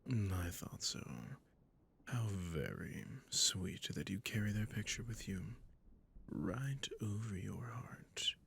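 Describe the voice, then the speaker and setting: A man playing a sadistic, possessive vampire lord in intimate roleplay audio, with a breathy, gravelly near-whisper and silky, mock-courteous, condescending endearments. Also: on the low side, takes it slowly, a bit bright, slight background noise, exaggerated voice.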